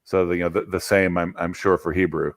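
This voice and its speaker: A man hosts a casual conversation over a video call. He talks in a lively, storytelling way, with the odd stammer and quick asides. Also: deep voice. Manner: chatty, storytelling, animated emphasis. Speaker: interview host.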